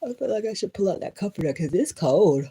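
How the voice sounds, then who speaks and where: A woman's voice: medium pitch, animated, fast. A young woman chatting with viewers on a livestream from her bed at home, drowsy and murmuring, often dropping to a whisper. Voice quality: husky, breathy voice. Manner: drowsy, drifting between murmurs and sudden loud laughs. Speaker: livestream host. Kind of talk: casual livestream chat with viewers. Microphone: phone camera.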